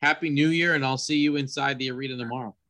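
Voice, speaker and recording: A man's voice: mid-range voice, fairly even intonation, quick pace, pushed and bright. A man hosting a sports interview in a conversational broadcaster's style. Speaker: sports podcast host and team radio announcer.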